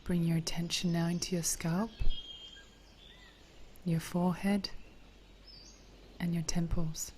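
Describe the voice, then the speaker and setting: Woman doing guided meditation, super slow n soft, mellow voice, faint birdsong behind. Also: lowish voice, flat delivery, slight background noise. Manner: gentle, soothing, hushed with breathy phrase endings.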